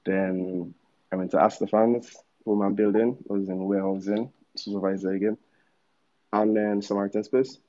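A man's voice: on the low side, flat delivery, moderate pace, mellow, muffled recording. A man in his thirties from Dominica with a Dominican accent, a musician telling his story in a casual, laid-back interview with slangy asides. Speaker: musician guest.

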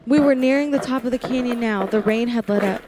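A woman's voice: fairly high pitch, a little fast, background noise, lightly acted. A woman playing a frightened young working woman held by a killer in a radio thriller, pleading, faltering and sometimes defiant, also narrating. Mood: tense narration. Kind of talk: classic radio thriller acting with first-person narration.

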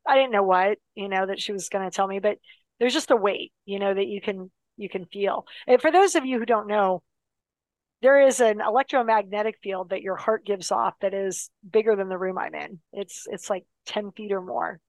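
Woman, casual storyteller, animated, dry close sound. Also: medium pitch, moderate pace, no echo, rough recording. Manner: animated, many fillers and restarts.

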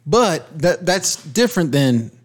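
A man's voice: a little high, big pitch swings, slow. A man hosting a casual podcast, emphatically explaining a point about risk and often cutting in with "hold on.". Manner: emphatic, keeps interrupting to make his point. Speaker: podcast host. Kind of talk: casual chat.

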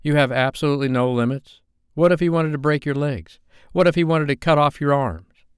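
A man's voice: fairly high pitch, a little fast, smooth, no room sound, clean studio sound, voice pushed up, natural voice. A man voicing a sceptical, challenging older woman in an audiobook. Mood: incredulous challenge. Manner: incredulous, challenging.

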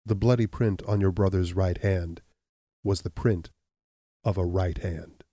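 A man voicing a stern, implacable accuser who speaks in a deep, level, measured way. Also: breathy edge, dry recording. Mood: accusing. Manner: calm, measured, unyielding. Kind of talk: audiobook reading.

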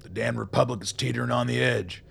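An actor playing a weary, gruff old colonel in a radio play, with a gravelly voice and a blunt, folksy, wry way of talking. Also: male voice, medium pitch, steady tone, fast, a bit bright, full character voice. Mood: weary, troubled. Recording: noticeable background noise.

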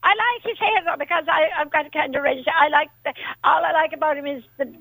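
Cheeky gravelly woman caller. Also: high voice, a bit bright, dry recording, poor audio. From County Limerick, Ireland. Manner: cheeky, quick quips, repeats herself. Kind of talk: cheeky call-in banter.

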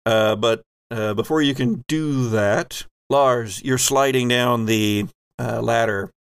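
A man acts as game master at a tabletop role-playing game. His middle-pitched voice moves between rules and improvised description in a conversational way, and it sounds close and dry. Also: takes it slowly, vocal fry, smooth, no room sound.

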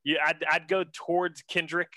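A man's voice: on the high side, little pitch movement, moderate pace, sharp tone, no echo, studio quality. A young man from western Pennsylvania, a guest chatting casually and enthusiastically about his work, full of slang. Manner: laid-back, slangy, enthusiastic, says man a lot. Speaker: guest, senior art director. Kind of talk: casual interview chat.

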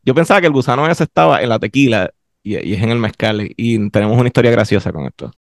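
A man's voice: medium pitch, animated, fast, gravelly, no room sound, clean studio sound. A man with a Puerto Rican accent hosting a podcast in an informal, conversational interviewing style. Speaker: podcast host.

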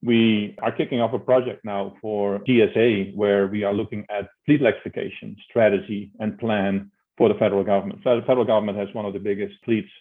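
A middle-aged Dutch-accented man with a lowish voice, heard through a narrow, tinny connection. He talks like an expert guest in an interview, explaining step by step and often doubling back on words. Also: little pitch movement, brisk, few pauses. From Netherlands. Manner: explanatory, repeats words as he builds his points. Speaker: interview guest, energy consulting executive. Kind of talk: conversational interview answers. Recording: narrow tinny phone-like line.

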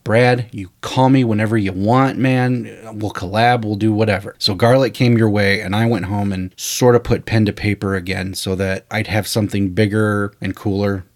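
A man's voice: lowish voice, moderate pace, hardly any pauses. A man co-hosting a podcast, telling stories from his screenwriting career in a rambling, casual way. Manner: rambling, animated storyteller with frequent "you know" and "uh". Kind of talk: casual storytelling chat.

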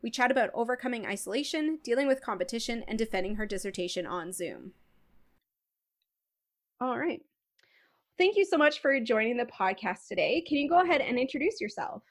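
A woman's voice: fairly high pitch, very animated, fairly quick. A woman hosting a podcast, introducing her guest and interviewing her in a friendly, encouraging way. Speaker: podcast host.

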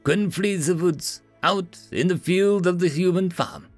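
A man's voice: high-pitched, unhurried, dry recording, soft background music, voice pushed up. A man voicing a regal, proud female house cat spirit with an Eastern European accent and broken speech.

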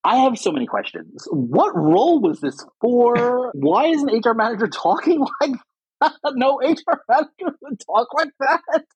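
A woman with a husky voice co-hosting a casual podcast, speaking in an emphatic, exasperated way. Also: a little high, big pitch swings, normal speed, a little mellow, no room sound, highs cut off. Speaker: podcast host. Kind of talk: casual chat.

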